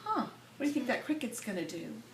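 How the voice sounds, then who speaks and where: A woman reading a picture book expressively to young children, pausing to ask them questions and echo their answers. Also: a little high, sing-song, vocal fry, crowd noise. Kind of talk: expressive storybook read-aloud with questions to children. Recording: echoey room, distant mic, noisy background.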